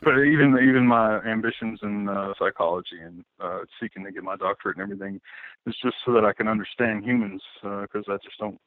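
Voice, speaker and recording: A man's voice: lowish voice, quick pace, no echo. A man, a guest artist, talking casually and rambling with many fillers and restarts. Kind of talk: casual interview talk. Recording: tinny phone-like line.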